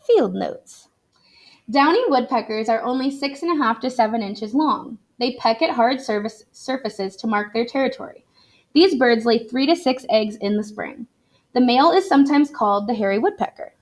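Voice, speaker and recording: Female teacher, slightly high pitch, reading aloud and explaining clearly to young children. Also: animated, moderate pace. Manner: explanatory, addressing young learners. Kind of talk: expressive read-aloud for children.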